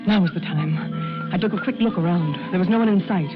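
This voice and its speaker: An actress playing a tense woman who narrates her part in a murder plot, in deliberate 1940s radio suspense style. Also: fairly low pitch, close to an everyday voice. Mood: urgent, dread. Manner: dramatic, deliberate, suspenseful delivery. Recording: muffled vintage radio recording, loud music behind.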